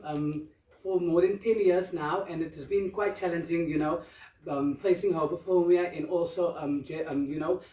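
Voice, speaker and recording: A community activist giving a personal introduction in an earnest, halting way. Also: mid-range voice, a little mellow. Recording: echoey room, distant mic.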